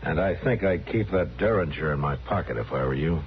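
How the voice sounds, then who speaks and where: An actor playing a gruff, steady, authoritative Old West marshal in a 1950s-style radio Western. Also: male voice, very deep voice, fairly quick, slight background noise. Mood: stern, commanding. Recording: muffled vintage radio recording with music.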